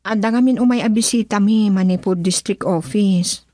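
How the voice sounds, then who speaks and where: An actress with an Ilocano accent playing a busy, apologetic working wife and schoolteacher in a radio drama. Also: female voice, mid-range voice, moderate pace, mellow, no room sound, studio quality, close to an everyday voice.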